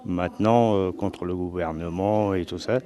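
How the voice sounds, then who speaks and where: A man in his fifties who was born in Portugal answers interview questions in a hesitant, unpolished way, often pausing and restarting. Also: low-pitched, steady tone, dark tone. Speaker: president of a community association. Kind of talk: interviewed guest.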